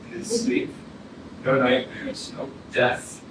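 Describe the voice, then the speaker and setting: A man playing the wistful ghost of a fourteen-year-old boy in a staged reading, halting and poetic with pauses. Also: close to an everyday voice. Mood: dreamy, foreboding. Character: dead fourteen-year-old boy's ghost, dreamy, wistful would-be filmmaker. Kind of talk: staged reading of a play, monologue. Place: indoor arts center stage, recorded from afar. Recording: very echoey, distant hall, clear noise, processed.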